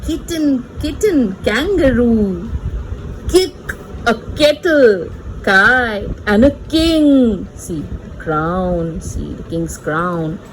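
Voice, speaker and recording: A woman's voice: a little high, sing-song, drawn-out words, a little hiss or hum, poor audio. A woman reading a picture book aloud to small children in a playful, sing-song, teacherly way, repeating words and pointing things out. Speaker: children's read-aloud presenter.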